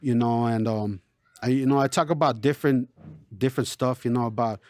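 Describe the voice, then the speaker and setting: A man born in Chicago and raised in El Paso, a rapper talking casually in an interview, relaxed and streetwise, always saying "you know". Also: medium pitch, a little fast, no echo. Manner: laid-back, streetwise, lots of "you know". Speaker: local rapper, interview guest.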